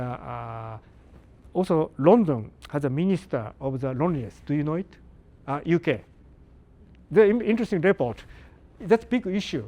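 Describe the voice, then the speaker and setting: An older man giving a live lecture in halting, non-native English, pausing often and putting questions to the audience. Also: on the high side, a little mellow. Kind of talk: live academic talk to an audience.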